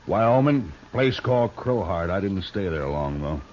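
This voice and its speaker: An actor playing a brutal, sardonic outlaw with an American accent and folksy, curt Western speech in an old-time radio drama. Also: male voice, deep voice, animated, measured pace, darkish tone. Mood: mocking. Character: brutal, conscienceless big outlaw bullying his captives. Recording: dull old radio recording, faint noise.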